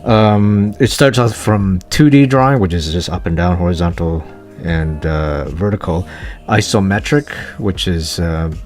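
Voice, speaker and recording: A man presenting an unscripted digital art tutorial voice-over, thinking aloud in a hesitant, halting way. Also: sits low, a little mellow. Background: guitar music.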